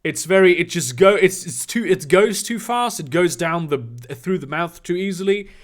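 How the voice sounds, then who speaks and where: A man in his twenties whose first language is German, answering chat questions on a livestream in a halting, casual way. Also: high-pitched, lively intonation. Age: 20s. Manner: halting, restarts often, reads donor questions aloud.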